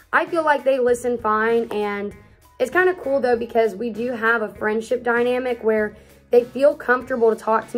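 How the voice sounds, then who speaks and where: An upbeat young woman in her early twenties talks to camera in a casual question-and-answer vlog, gesturing as she speaks. She is recorded close up in a small room at home, with soft music faintly underneath. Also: fairly high pitch. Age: early 20s. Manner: chatty and upbeat, talks with lots of hand gestures. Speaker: youth pastor.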